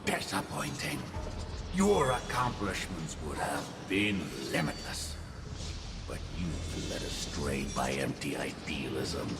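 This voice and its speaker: An actor voicing a menacing old swordmaster in a video game, theatrical and taunting, with swells into force. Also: male voice, very deep voice, vocal fry, exaggerated voice. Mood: scornful, menacing. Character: menacing old male swordmaster goading his young pupil. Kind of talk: dramatic video game voice acting. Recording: loud music under the voice.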